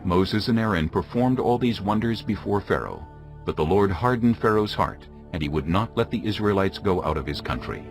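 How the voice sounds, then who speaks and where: Male narrator, low pitch, animated delivery, dramatic scripture storytelling, soft music behind. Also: moderate pace.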